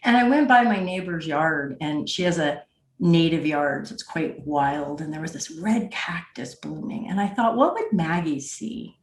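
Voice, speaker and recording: Woman podcast host asking stuff conversationally, kinda low voice, pretty echoey recording. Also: expressive, normal speed. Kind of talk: conversational interviewing. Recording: echoey, roomy sound.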